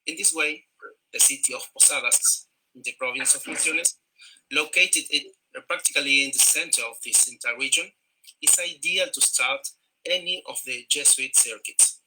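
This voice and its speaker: A man from Argentina, a native Spanish speaker with an Argentine accent, giving a tourism presentation in halting English full of fillers and repeated words over a video call. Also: a little high, noisy background.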